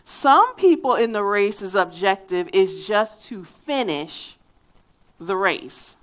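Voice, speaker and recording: A woman's voice: mid-range voice, animated, slow pace, fairly bright tone. A woman from Philadelphia with a faint Philadelphia accent, preaching a sermon in an earnest, emphatic way and weaving in a personal story. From Philadelphia, USA.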